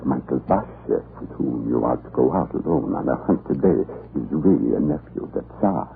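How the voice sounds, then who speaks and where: An actor playing a cruel, scheming Russian prison island commandant in a radio drama, pompous and insinuating in his deliberate delivery. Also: very deep voice, lively intonation, vocal fry, exaggerated voice. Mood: insinuating menace. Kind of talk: 1940s radio drama acting. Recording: muffled, noisy old radio recording.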